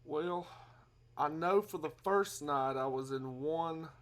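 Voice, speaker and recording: A man's voice: fairly high pitch, slow pace. A man with a Southern US accent playing a folksy, muddled hotel guest who rambles in double-talk on a prank phone call. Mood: muddled, rambling. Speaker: prank caller.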